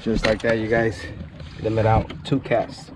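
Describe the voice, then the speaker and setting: A man's voice: on the low side, completely flat delivery, unhurried. A man in his thirties giving casual running commentary to viewers as a fishing vlogger, repeating catchphrases and addressing them like buddies. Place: small open motorboat on a river. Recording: noisy open-air boat recording.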